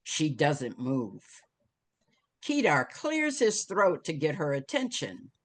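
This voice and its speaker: Slow, expressive low-voiced woman narrator. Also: a little hiss or hum. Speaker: stage directions reader. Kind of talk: dramatic scripted narration.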